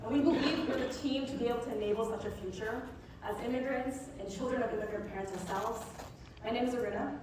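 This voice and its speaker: A woman co-founder giving a rehearsed startup pitch to present a product. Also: fast. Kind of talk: rehearsed demo-day pitch. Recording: echoey hall from far off, noisy.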